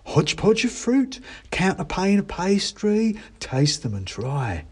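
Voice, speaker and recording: A man's voice: high voice, wildly swooping pitch, slow pace, darkish tone, breathy edge, voice pushed up, full character voice. A man voicing a cheery male mouse market trader, calling out a coaxing sing-song patter.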